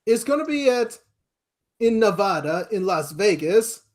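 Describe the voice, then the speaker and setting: Male podcast guest from America, notably high-pitched voice, casual, hesitant delivery in short halting phrases. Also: big pitch swings. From United States. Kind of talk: casual chat.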